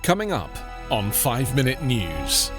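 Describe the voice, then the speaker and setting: Male news host for a promo read, medium pitch, measured script feel, prominent music bed. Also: huge pitch swings.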